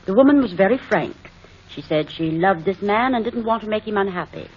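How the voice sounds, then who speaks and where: An actress playing a proper, sympathetic adoption home matron with formal phrasing in an old-time radio drama. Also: female voice, mid-range voice, animated, fairly quick, natural voice. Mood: frank, sympathetic. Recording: muffled, noisy old radio recording.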